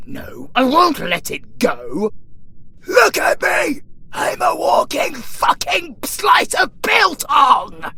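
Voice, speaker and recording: A British man voicing a gleeful, darkly flirtatious undead necromancer villain in a theatrical audio roleplay, swinging between hushed murmurs and shrill outbursts. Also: creaky, a little hiss or hum, full character voice. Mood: exasperated. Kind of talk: dark comedic audio roleplay acting.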